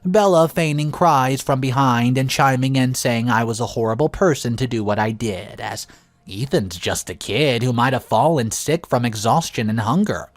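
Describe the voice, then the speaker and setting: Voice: medium pitch, normal speed, no echo. A narrator reading a scripted first-person story in a dramatic storytelling manner. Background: music.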